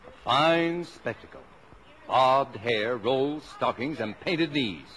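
A man's voice: medium pitch, expressive, slow, a bit bright. An actor playing a strict, old-fashioned, sarcastic father of a big family in a 1950s radio drama. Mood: disapproving. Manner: sarcastic, indignant, emphatic. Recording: noisy old radio broadcast.

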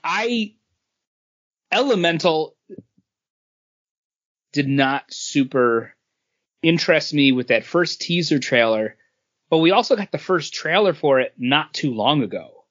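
A man's voice: on the high side, big pitch swings, slow pace, pauses often, fairly bright tone, dull audio. A man co-hosting a podcast, talking casually with his friends. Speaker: podcast co-host. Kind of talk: casual panel chat.

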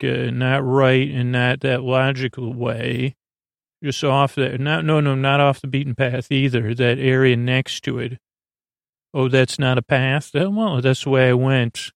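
A man with a medium-pitched voice full of creak delivers a sleep podcast monologue. He meanders and stumbles, often restarting and losing his thread. The sound is very dry, with no room echo. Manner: meandering, halting, full of digressions and false starts. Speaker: podcast host.

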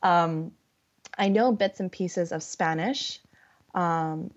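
A woman's voice: on the low side, slow pace. A woman with a Californian accent chatting casually as a podcast guest, with a giggly, hesitant way of talking. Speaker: podcast guest, English conversation partner. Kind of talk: casual conversation. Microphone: online voice call. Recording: dull, lo-fi internet call audio.

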